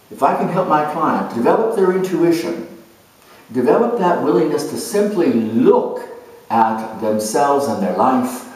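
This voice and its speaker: Slow, theatrical man in an echoey room. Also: on the high side, a little mellow. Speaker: astrologer dramatizing zodiac character types. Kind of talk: theatrical first-person character monologue. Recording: echoey room, distant microphone.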